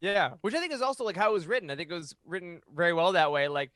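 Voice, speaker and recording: An American man chatting casually on a roundtable podcast in a jokey, animated way, acting out quotes. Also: sits high, very fast, no echo, studio quality. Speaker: podcast panelist. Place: home studio, remote video call. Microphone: close studio mic on boom arm.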